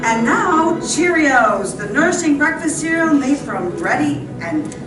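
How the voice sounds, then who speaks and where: A grand, stirring radio announcer played by a woman with a high voice. She narrates in a stately, dramatic old-time western style. She sounds distant in a roomy space, under loud music. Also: lively intonation. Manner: dramatic, stately, drawn-out emphasis.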